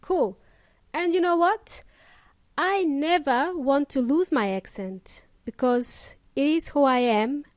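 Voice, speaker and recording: A woman's voice: high-pitched, slow pace, dry recording. A young woman with an Eastern European accent tinged with New Zealand and Australian sounds, hosting an upbeat English lesson podcast and talking straight to her listeners. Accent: Eastern European with New Zealand and Australian traces. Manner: upbeat, addresses listeners directly with Spanish asides. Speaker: English teacher podcast host.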